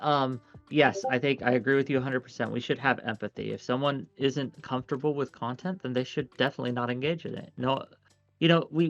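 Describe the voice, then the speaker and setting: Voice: medium pitch, no echo. An emphatic panel host drives home points by repetition, recorded dry and dull on a lo-fi mic with faint music behind. The voice sits mid-range. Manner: emphatic, repeats for effect. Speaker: host, moderator. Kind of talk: casual online discussion.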